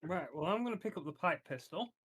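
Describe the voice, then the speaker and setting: This is a man with a voice a little higher than average. He is a player at a tabletop roleplaying session, speaking casually and swearing freely. He declares his character's moves and slips into in-character lines. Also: animated, quick pace, fairly bright tone, breathy edge.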